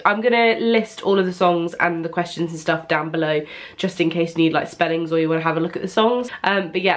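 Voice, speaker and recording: A young British woman vlogging at home, talking casually to a camera propped on an ironing board, her nose blocked with a cold and her talk full of ums. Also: mid-range voice. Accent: British English. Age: young adult. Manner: chatty, rambling, many ums. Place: at home, indoors.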